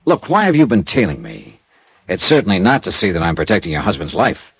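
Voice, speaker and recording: An actor playing a tough, wry insurance investigator in a classic radio drama, clipped and sardonic. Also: male voice, low-pitched, sing-song, brisk, vocal fry, breathy edge, dry recording, heavily acted. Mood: pressing. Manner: clipped, sardonic, hard-boiled. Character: tough, wry freelance insurance investigator, narrating his case. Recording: vintage radio drama with music.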